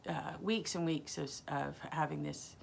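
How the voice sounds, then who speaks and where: A woman chats through her knitting podcast in a low, gravelly voice, circling back with plenty of ums and mid-sentence fixes. A lingering chest cough breaks in now and then, over a faint hiss of background noise. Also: steady tone, unhurried. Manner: rambling, many ums and self-corrections. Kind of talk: casual solo podcast chat.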